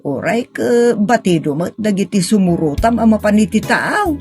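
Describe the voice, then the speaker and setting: A woman's voice: mid-range voice, sing-song, moderate pace, a little mellow, slightly rough, pitched below the performer's own voice. A woman playing a doubtful, cautioning mother in a radio drama, admonishing with a Filipino accent.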